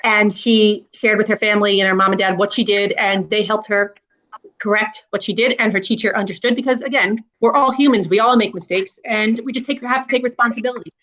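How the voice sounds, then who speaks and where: A woman's voice: mid-range voice, steady tone, fairly quick, a bit bright, poor audio. A woman educator reading a storybook aloud and chatting about it in an earnest, explanatory way. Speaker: school educator. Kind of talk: read-aloud of a children's book and casual discussion.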